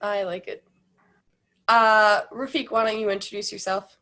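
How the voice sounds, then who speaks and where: A woman's voice: mid-range voice, level tone, normal speed, sharp tone. A woman moderating an online panel over a video call, reading the guest introductions in an upbeat way.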